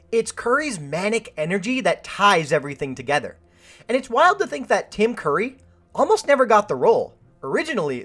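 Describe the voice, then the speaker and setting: A woman's voice: on the low side, fairly bright tone. A woman hosting a film review, reading a scripted video essay with wry, joking asides.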